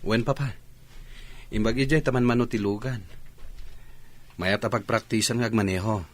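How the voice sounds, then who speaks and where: A man's voice: fairly low pitch, steady tone, normal speed, close to an everyday voice. A man with an Ilocano accent playing a respectful, eager student son in a radio drama. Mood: eager, polite.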